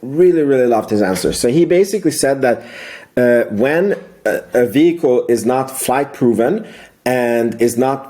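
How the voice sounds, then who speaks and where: Man, soft mellow tone, mid-range pitch, casual recap commentary, rambling, emphatic repeats. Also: expressive, moderate pace. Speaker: video host, amateur investor commentator.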